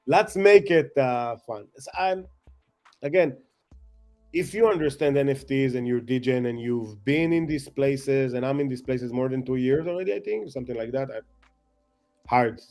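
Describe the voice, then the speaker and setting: A man chatting as a guest, excitable and rambling, self-mocking, with non-native English phrasing. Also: medium pitch, normal speed, soft background music. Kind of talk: casual chat.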